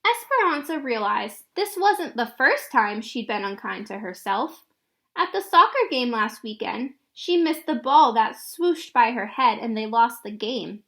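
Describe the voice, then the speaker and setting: A woman reading a children's picture book aloud in an expressive storytelling style. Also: on the high side, unhurried. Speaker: read-aloud narrator.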